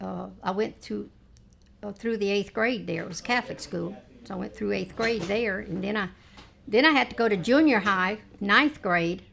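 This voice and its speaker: A woman in her late seventies, reminiscing in a family oral history chat, rambling with frequent pauses and fillers. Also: medium pitch, vocal fry, fairly bright tone. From Texas, United States. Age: 70s. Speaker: interviewee, mother.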